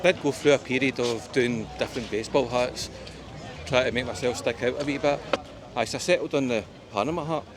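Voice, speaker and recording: A man's voice: mid-range voice, fairly quick. A man with a broad Scottish accent chatting casually as he walks along a seaside promenade, rambling with plenty of ums, wee and aye. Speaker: travel vlogger. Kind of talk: casual walking-tour vlog. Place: busy outdoor seaside promenade. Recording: noisy outdoor crowd with music. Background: crowd chatter and festival music.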